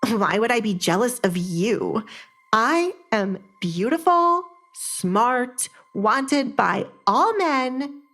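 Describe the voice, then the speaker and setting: A woman's voice: a little high, expressive, extremely slow, fairly bright tone, pitched above the performer's own voice, heavily acted. A woman voicing a vain, spiteful young noblewoman in an audio drama, haughty and gloating. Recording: background music under the voice.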